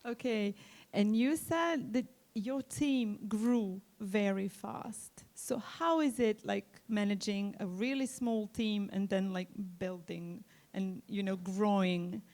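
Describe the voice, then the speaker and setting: Woman interviewer at a conference, unhurried, upbeat questions. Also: mid-range voice, darkish tone. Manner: enthusiastic, chatty questions. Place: conference arena stage.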